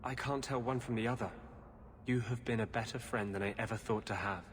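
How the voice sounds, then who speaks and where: Actor as a troubled, earnest rebel mage. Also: male voice, mid-range voice, fairly even intonation, fairly quick, a little hiss or hum, lightly acted. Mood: resigned, earnest. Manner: earnest, resigned, heavy-hearted. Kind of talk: dramatic game dialogue.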